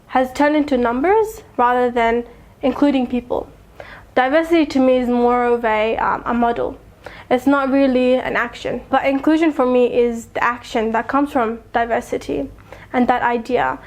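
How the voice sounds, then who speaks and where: A young woman with a high, light voice speaks in a reflective interview. She is earnest and thoughtful, and she pauses often to find her words. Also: fairly even intonation. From Afghanistan. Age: young adult. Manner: thoughtful, earnest, with soft laughs and frequent ums. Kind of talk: reflective personal testimony.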